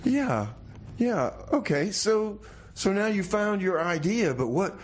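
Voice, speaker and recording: A man hosting a casual radio interview, feeling his way into questions haltingly and repeating words. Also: high voice, very animated. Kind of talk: casual, loose interview chat. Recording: clear background noise and ambience.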